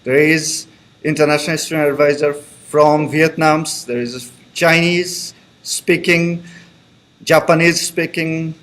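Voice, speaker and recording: Guy advising new intl students, kinda high voice, friendly, repeats himself a lot. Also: fairly even intonation, measured pace, fairly bright tone. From Bangladesh. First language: Bengali. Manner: friendly, repeats reassurances, loose grammar. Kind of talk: live online information session.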